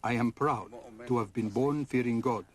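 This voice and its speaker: A man interpreting into English, in a measured, solemn and declarative way. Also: medium pitch. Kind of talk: formal consecutive interpreting.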